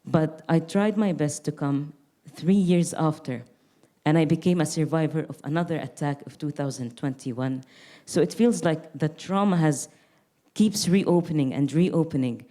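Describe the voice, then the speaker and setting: Low-voiced young woman, earnest and reflective. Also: normal speed, warm tone. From Gaza Strip. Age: young adult. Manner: reflective, earnest, with frequent restarts. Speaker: artist. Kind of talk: panel conversation.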